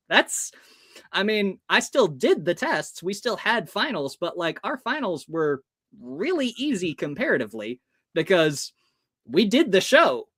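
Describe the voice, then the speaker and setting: A man's voice: high voice, fairly bright tone, dry recording, clean studio sound. A man in his thirties from the United States, a hypnotist guest telling stories in an interview and acting out the people in them. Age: 30s. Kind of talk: storytelling in an interview.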